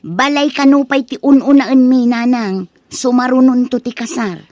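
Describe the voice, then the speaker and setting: A woman's voice: high-pitched, normal speed, darkish tone, dry recording, natural voice. A woman playing a vain, money-minded young village bride-to-be in a comic radio drama, with an Ilocano Filipino accent. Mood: haughty. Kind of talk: comedic radio drama acting.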